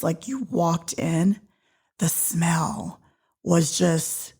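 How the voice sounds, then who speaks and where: Woman in her forties, podcast host; low, husky voice with fry, casual and animated in telling her story. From Georgia, USA. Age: 40s. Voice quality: deep, loud voice. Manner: chatty and animated, drops into whispers for effect.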